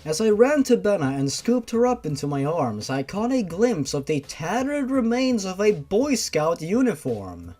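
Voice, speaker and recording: Expressive storyteller with lively, swooping pitch. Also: normal speed, hardly any pauses. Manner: sarcastic, sweary asides. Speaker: narrator reading fan fiction aloud. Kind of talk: storybook narration with mocking asides.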